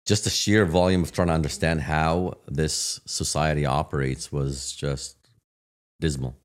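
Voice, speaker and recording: A raspy-voiced man, a guest telling his story in a loose, reflective way with many fillers. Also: rumbling low, steady tone, measured pace. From Palestine. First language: Arabic. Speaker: guest, real estate agent. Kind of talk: casual interview chat.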